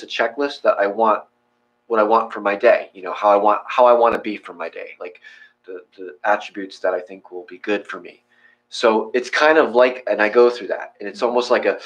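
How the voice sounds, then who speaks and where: A man's voice: on the low side, low-quality recording. A man hosting a meditation session, chatting casually in a rambling, informal way and often restarting his sentences. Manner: rambling, informal, self-correcting. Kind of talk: casual chat after guided meditation.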